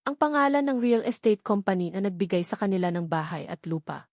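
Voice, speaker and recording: A woman's voice: medium pitch, expressive, brisk, a little mellow, no echo, clean studio sound. A woman with a Filipino accent reading a scripted love story as a dramatic narrator.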